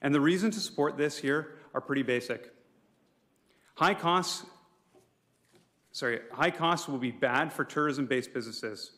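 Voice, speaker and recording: A man's voice: a little high, measured pace, long pauses, a bit bright. Man giving a formal prepared council address. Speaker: public speaker for a property owners' association at a council meeting. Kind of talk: prepared speech to a city council. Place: public council meeting room.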